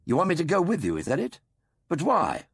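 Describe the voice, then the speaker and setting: A man voicing a brisk, clever male adventurer with clipped speech. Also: lowish voice, wildly swooping pitch, vocal fry, slightly rough, no room sound, studio quality, heavily acted. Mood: concerned.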